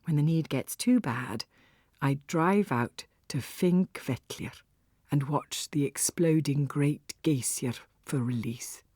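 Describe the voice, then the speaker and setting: A woman narrating a story in the first person, with an expressive, wry and theatrical delivery. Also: sits low, slow pace, breathy edge, no room sound, clean studio sound. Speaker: audiobook narrator.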